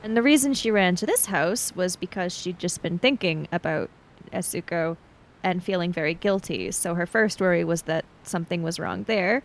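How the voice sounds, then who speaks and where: A woman's voice: on the low side, normal speed. A woman playing a tabletop game, improvising narration of her character's actions and thinking aloud. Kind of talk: improvised third-person roleplay narration.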